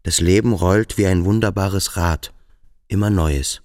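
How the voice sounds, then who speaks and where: Yearning, introspective schoolboy a deep voice, radio drama, close dry sound. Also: male voice, measured pace, a little mellow, dry recording. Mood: wistful. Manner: introspective, literary. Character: melancholy, yearning schoolboy lost in his thoughts. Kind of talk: radio drama acting, interior monologue.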